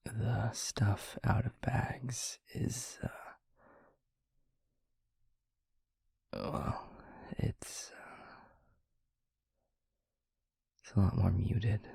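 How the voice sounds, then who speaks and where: A man playing a gentle, concerned vampire boyfriend in an intimate audio roleplay, speaking softly and haltingly with a breathy, husky voice. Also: on the low side, dry recording, exaggerated voice. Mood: calm, explaining. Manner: soft, hesitant, halting, reassuring.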